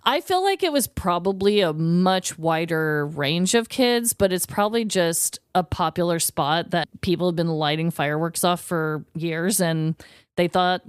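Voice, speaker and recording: A woman podcast host tells a story casually and emphatically. Her voice is smooth and clear with very lively pitch, recorded close and dry. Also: no echo. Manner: emphatic, slangy, informal. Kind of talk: casual comedic storytelling chat.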